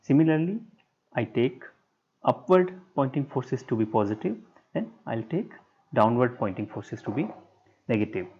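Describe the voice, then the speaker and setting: A man giving a structural engineering lecture over a whiteboard screen recording, speaking in short, halting, measured phrases. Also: medium pitch, very animated, a little mellow, husky. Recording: dull lo-fi sound.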